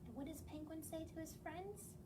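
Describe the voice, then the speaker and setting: Woman, high voice, children's story time host. Reads picture books aloud and prompts little listeners. Noisy, distant recording. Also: moderate pace. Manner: addresses young children with questions and encouragement. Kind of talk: children's read-aloud and singalong. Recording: distant mic, clearly noisy background.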